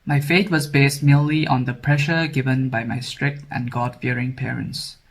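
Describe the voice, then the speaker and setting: A man's voice: mid-range voice, fairly even intonation, moderate pace, gravelly. Young man reading a formal testimony. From Sri Lanka. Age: 20s. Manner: formal, read-aloud delivery. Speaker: scholarship candidate.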